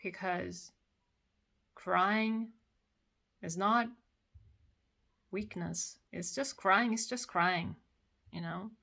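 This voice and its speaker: A woman from Korea with a Korean accent, speaking in English as a second language. She talks in a personal, emotional monologue after crying, halting and repeating phrases. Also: lowish voice, vocal fry, bright, forceful tone. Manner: slow, halting, repeats phrases, many pauses. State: has been crying. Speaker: vlogger.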